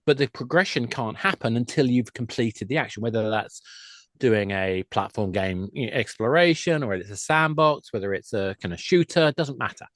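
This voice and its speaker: A man's voice: medium pitch, expressive, normal speed, fairly bright tone, no room sound. Explanatory man in a dry recording. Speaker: guest, games industry expert. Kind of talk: panel discussion.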